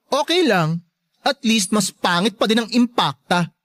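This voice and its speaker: A woman playing a sharp-tongued, joking best friend in a Filipino radio drama, campy and teasing. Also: mid-range voice, animated, measured pace, no echo, natural voice. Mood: sarcastic, catty.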